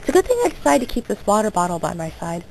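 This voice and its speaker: A teenage girl with a hoarse, sore throat, rambling through casual gameplay commentary. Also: medium pitch, sing-song, racing, noisy background. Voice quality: gravelly. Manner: rambling and self-interrupting, coughing now and then. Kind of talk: casual let's play commentary.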